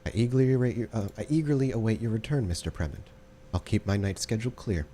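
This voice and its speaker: A man's voice: lowish voice, quick pace, warm tone, slight background noise, pitched above the performer's own voice, close to an everyday voice. A man voicing an aloof female vampire sorcerer in a tabletop game, speaking formally and without humour. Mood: cool, impatient. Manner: formal, terse, clipped courtesy.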